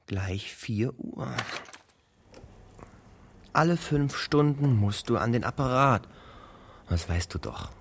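An actor playing a patient, dutiful young son in a radio drama, speaking in a measured, subdued way. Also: male voice, medium pitch, animated, a little hiss or hum. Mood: patient, resigned. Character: patient, dutiful son caring for his sick mother.

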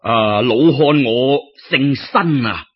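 Storybook old man played by a male narrator: husky Cantonese, courteous, humble, halting; dull lo-fi recording. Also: medium pitch. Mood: courteous, genial. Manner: halting, chuckling, polite old-fashioned speech. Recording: dull lo-fi radio-style recording.

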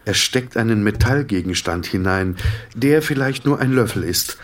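A man narrating a literary audio drama in an introspective, dramatic way with deliberate phrasing. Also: fairly low pitch, animated.

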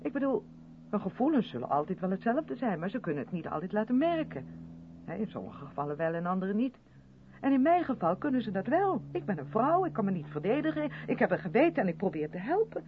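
A hissy, phone-thin old radio drama recording carries an earnest, strict woman played by an actress. Her pitch moves in lively swings as she probes and insists, emphatic in seventies radio style. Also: fast, natural voice. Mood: troubled, defensive. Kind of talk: 1970s Dutch radio play acting. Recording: noisy, tinny band-limited old radio recording.

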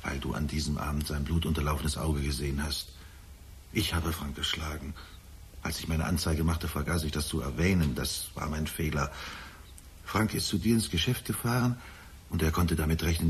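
Low male voice, older father, bitter, volatile, accusing, radio drama. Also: normal speed. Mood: grave, accusing. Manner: brooding, accusing, prone to agitated outbursts. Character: bitter, volatile ageing father and jealous, desperate lover. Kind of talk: German radio drama acting.

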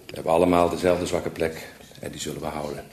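An actor playing an earnest support group leader in a radio drama, measured and reassuring as he addresses a group. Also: a bass voice, little pitch movement, darkish tone, slight background noise. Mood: earnest, welcoming. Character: steady, earnest male support group leader, himself a struggler.

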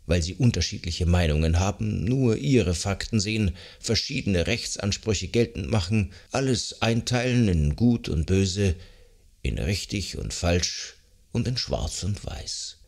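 A man reads scripted nonfiction as an audiobook narrator, in an articulate, measured, explanatory way. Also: sits low, lively intonation, a little mellow. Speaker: audiobook narrator of a psychology self-help book.

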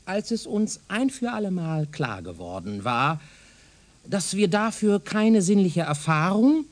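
Pompous, wildly animated professor. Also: male voice, sits high, full character voice. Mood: lofty, insistent. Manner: pompous, didactic, declamatory, condescending. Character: pompous, self-assured male professor lecturing on his doctrine. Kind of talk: German radio drama acting.

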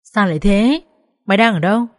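A woman's voice: lowish voice, normal speed, a little mellow, no echo, studio quality, natural voice. A woman voicing a blunt, fiercely loyal young woman who scolds coarsely and emphatically in an audio story. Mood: alarmed. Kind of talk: dramatised audio story dialogue.

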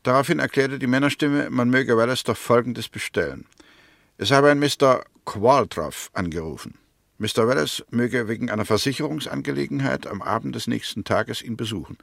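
An actor playing a firm, methodical prosecutor in a 1960s German radio drama, speaking formally and precisely. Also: male voice, mid-range voice, moderate pace, vocal fry, a bit bright, no echo, studio quality. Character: male prosecutor, methodical, firm, convinced of guilt. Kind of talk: 1960s German radio drama acting, courtroom-style argument.

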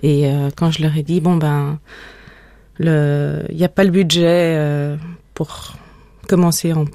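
A woman in her thirties from Switzerland and Denmark, a documentary filmmaker giving a radio interview in a calm, firm, plain-spoken way. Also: deep voice, takes it slowly, no room sound, studio quality. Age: 30s.

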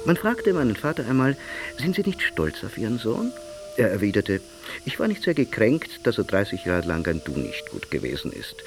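A man's voice: on the low side, huge pitch swings, normal speed. A man reading autobiographical literary sketches expressively, with a wry, theatrical way of storytelling. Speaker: narrator of an audiobook. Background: music behind the voice.

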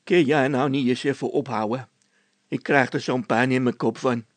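A man's voice: mid-range voice, steady tone, moderate pace, no echo, natural voice. A man voicing a peevish, spiteful road labourer who is easily irritated, in a storybook tale.

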